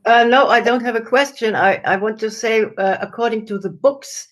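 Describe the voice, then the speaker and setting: A woman participating in a group discussion, giving her opinion in a halting, deliberate manner. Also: a little high.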